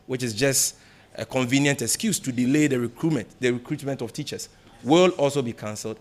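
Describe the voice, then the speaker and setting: Male political spokesperson, Ghanaian accent, medium register, formal and deliberate press-conference delivery with emphatic repeats. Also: mid-range voice. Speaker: opposition party spokesperson at a press briefing. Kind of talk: press conference remarks, answering questions.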